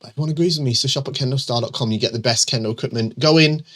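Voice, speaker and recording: A man's voice: medium pitch, very fast, fairly bright tone. A middle-aged man hosting a show and answering viewer questions in casual chat, his voice stuffy and bunged up from a cold, with lots of ums and restarts. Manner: chatty, many ums and restarts, reads questions aloud.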